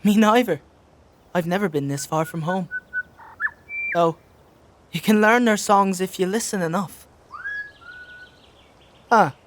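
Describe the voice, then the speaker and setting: An actor playing a naive, caring young farm boy in a dark fantasy audio drama, informal and earnest in manner. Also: medium pitch, a little hiss or hum. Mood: curious, gentle.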